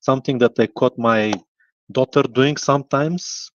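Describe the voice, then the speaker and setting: A man's voice: mid-range voice, unhurried, a little hiss or hum. A man from Bulgaria, asking a long thoughtful question on a video call and searching for words. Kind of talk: casual video call talk. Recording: dry, dull lo-fi video call audio.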